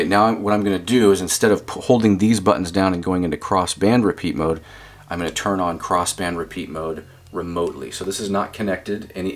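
A man giving a casual hands-on demonstration as a radio hobbyist, explaining informally and thinking aloud as he goes. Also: deep voice. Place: indoors at a desk.

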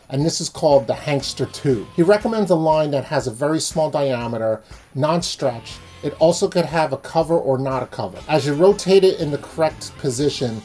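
A man's voice: on the high side, mellow. A man explaining things as a sailing channel host in a how-to vlog. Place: small indoor room.

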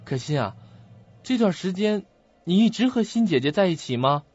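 An actor in an audio drama playing an earnest, puzzled young student who questions and muses to himself. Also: male voice, sits high, expressive, moderate pace, darkish tone. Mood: puzzled, probing. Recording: muffled lo-fi audio.

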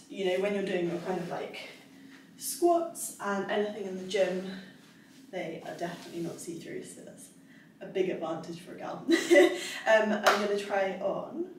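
A cheerful young woman chats through a clothing haul, laughing often and filling pauses with "um" and "like." Her voice sits at an ordinary middle pitch. It bounces around a bare, very echoey room, picked up from a camera a few steps away. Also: distant mic, a little hiss or hum. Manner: bubbly, giggly, chatty with many fillers. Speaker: fitness vlogger. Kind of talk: casual try-on haul chat. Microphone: camera across the room.

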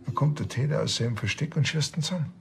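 A man's voice: mid-range voice, fast, creaky, close to an everyday voice. An actor playing a grumbling, matter-of-fact village policeman in a TV crime comedy. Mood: deadpan.

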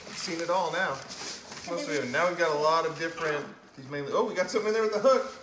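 A man's voice: a little high, fast talker, a bit bright. A man in his forties, a fishing channel host, chatting playfully and joking with his kids while unboxing at a table indoors. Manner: cheerful, joking, laughs often. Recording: echoey room with background noise.

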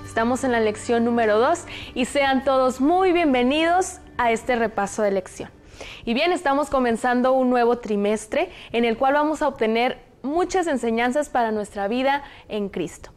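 A young Mexican woman hosts a studio panel with a slightly high voice. She speaks in a measured, explanatory way into a lapel mic, clear and close. Her accent is Mexican Spanish. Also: animated, faint music behind. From Zacatecas, Mexico. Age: young adult. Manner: measured, explanatory, reads passages aloud. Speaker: host. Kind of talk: studio discussion hosting. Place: television studio, indoors.

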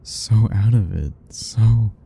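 A man voicing a doting, possessive yandere admirer in soft, husky, intimate murmurs for an ASMR romance roleplay. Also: lowish voice, a little hiss or hum. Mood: doting, obsessive. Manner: slow, intimate murmuring, coaxing and self-talking.

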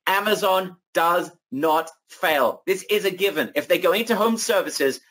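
A man presenting a sales webinar over slides, emphatic and repetitive, his voice going hoarse. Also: high-pitched, measured pace, a bit bright, poor audio. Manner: emphatic, repetitive hype, addresses audience as guys.

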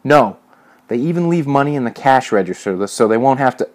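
A man's voice: medium pitch, animated, brisk, voice pushed up, close to an everyday voice. A man voicing an earnest, nervous young man in a story, defensive and plain-spoken.

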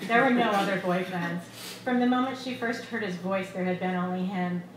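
A woman's voice: mid-range voice, fairly quick. Woman reading fiction in an echoey room. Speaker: author reading her short story. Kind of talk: live literary reading of prose fiction. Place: small bar room, live reading. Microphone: microphone on a stand, close. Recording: echoey room, clear background noise.

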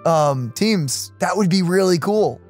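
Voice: medium pitch, expressive, measured pace, a little mellow, faint music behind. A young adult let's play co-host chatting casually and reacting to a game with slangy enthusiasm. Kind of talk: casual gaming chat and reactions.

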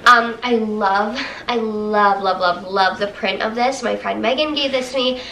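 A woman's voice: mid-range voice, measured pace, bright, forceful tone, a little hiss or hum, rough recording. A young woman with a stuffy, nasal voice from a cold, chatting cheerfully to camera as she shows baby items in her living room. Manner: chatty, upbeat, laughs often. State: congested, nasal. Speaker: vlogger. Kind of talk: casual haul video. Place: living room, seated on the floor. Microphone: camera held at arm's length, then a camera across the room.

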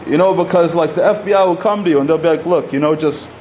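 A man's voice: a little high, fast talker, dull audio, a little hiss or hum. A man in his thirties from Egypt, giving a conversational talk at a community center podium, full of "you know" and "like". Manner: conversational, many fillers, gestures while speaking. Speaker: panel speaker. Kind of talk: public talk at a community event. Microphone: podium mic.

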